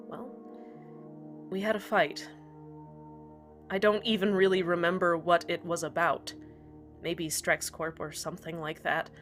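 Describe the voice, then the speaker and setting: A woman voicing a heartbroken community radio host who speaks in an earnest, halting way with many pauses. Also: fairly low pitch, fairly bright tone. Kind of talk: fan radio drama monologue.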